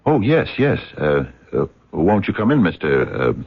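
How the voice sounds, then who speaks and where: An actor playing an earnest, courteous American physicist in a radio mystery, speaking in a hesitant, wordy, stammering way. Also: male voice, deep voice, big pitch swings, a little slow, slightly rough, exaggerated voice. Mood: fussy, eager. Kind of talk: radio drama acting. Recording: dull, muffled radio drama recording.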